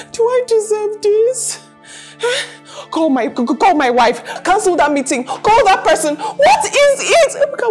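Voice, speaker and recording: A high-voiced, lovesick employee, played by a woman pleads with the married boss she loves. She turns reproachful and tearful in a TV drama, with faint music behind her. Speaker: office assistant.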